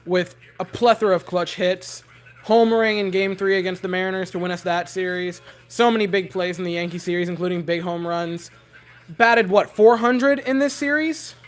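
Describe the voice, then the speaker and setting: A young man with a hoarse, worn-out voice, rambling giddily to his livestream chat from home. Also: high voice, moderate pace. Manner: giddy, rambling, talking to chat. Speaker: streamer.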